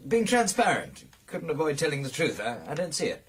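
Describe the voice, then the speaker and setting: An actor playing a doubtful club gentleman in a radio drama, stammering and sceptical. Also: fairly high pitch, wildly swooping pitch, brisk, slight background noise. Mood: sceptical, puzzled.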